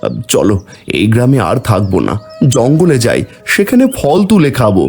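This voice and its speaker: A man's voice: mid-range voice, wildly swooping pitch, moderate pace, gravelly, darkish tone, soft background music. Man playing a weary, poor village husband. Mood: dejected. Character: poor, humiliated elder brother, weary but determined. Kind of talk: cartoon fairy-tale voice acting.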